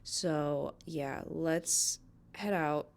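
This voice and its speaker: A woman's voice: low voice, fairly even intonation, extremely slow, gravelly. Chatty young woman. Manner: chatty, many "like" fillers and restarts. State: congested, stuffy nose. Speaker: vlogger. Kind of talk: casual vlog chat to camera.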